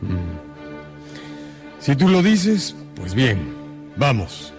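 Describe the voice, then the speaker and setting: An actor playing a stern, authoritative count who acts as judge in a radio drama. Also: male voice, medium pitch, wildly swooping pitch, extremely slow, a little mellow, soft background music, exaggerated voice. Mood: grave, businesslike.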